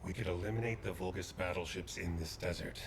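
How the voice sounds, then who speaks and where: A man voicing a grave, courteous veteran soldier in a video game cutscene, speaking in a measured, formal way. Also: sits low, vocal fry, a little hiss or hum. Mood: purposeful.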